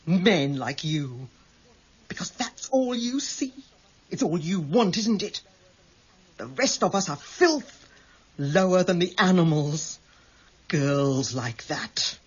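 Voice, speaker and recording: Slow, husky, venomous villainess. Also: female voice, lowish voice, sing-song, lo-fi sound, heavily acted. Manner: venomous, deliberate. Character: bitter, hate-filled female kidnapper, coldly controlled, defiant. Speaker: actor in a radio crime drama. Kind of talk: 1990s British radio drama acting.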